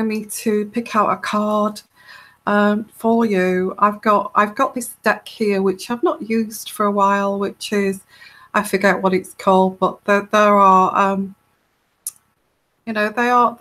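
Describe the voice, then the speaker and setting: A woman with a soft, soothing voice hosts a live tarot question-and-answer stream. She talks slowly and casually, wandering through her thoughts with plenty of ums and restarts. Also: medium pitch. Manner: rambling, hesitant, many fillers and restarts. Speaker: tarot reader hosting a live Q&A.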